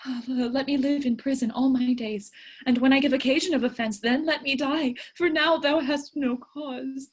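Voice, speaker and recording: A woman's voice: sits high, steady tone, moderate pace. A woman playing a frightened young boy who pleads for life in a staged reading of Shakespearean verse. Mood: desperate. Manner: pleading, breathy. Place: online video call.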